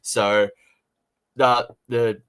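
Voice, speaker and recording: A man co-hosting a casual review chat, talking in a halting way and rephrasing as he goes. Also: medium pitch, level tone, fairly bright tone, clear, resonant tone, low-quality recording. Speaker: podcast co-host reviewing audio dramas.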